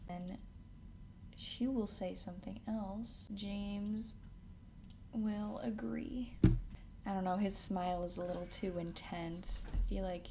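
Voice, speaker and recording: Woman doing a casual tutorial, takes her time, kinda thinking out loud, some noise n clicking behind her. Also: mid-range voice, steady tone, slow pace. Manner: thinks aloud while working. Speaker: tutorial host. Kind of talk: casual screen-recorded software tutorial. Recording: clear background noise, keyboard and mouse clicks.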